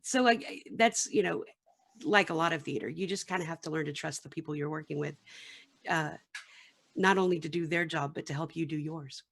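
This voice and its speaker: A woman's voice: sits low, brisk, creaky, fairly bright tone. A woman talking casually as a member of a radio play crew in a panel discussion over a video call. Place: remote group video call.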